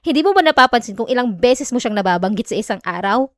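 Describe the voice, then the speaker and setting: A high, close and dry voice with a Filipino accent: a woman acting a jealous young girlfriend in an audio roleplay. She is emotional and sarcastic, wounded while making a show of not caring. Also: expressive, quick pace, no echo, natural voice. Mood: angry, exasperated. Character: jealous, hurt young girlfriend feigning indifference. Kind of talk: Tagalog ASMR girlfriend roleplay, acted monologue.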